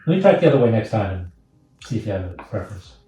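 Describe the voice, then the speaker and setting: A man's voice: fairly low pitch, very animated, racing, mellow. A man speaking as an actor in rehearsal, casually discussing how to read his lines. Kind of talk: casual discussion of line readings. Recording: very echoey, distant mic, faint music.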